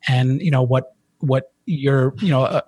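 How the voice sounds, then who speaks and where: A man's voice: mid-range voice, fairly even intonation, measured pace, darkish tone, slightly rough, no echo. A middle-aged man, a financial advisor, explaining plans in a podcast chat with many restarts and fillers. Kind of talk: podcast conversation.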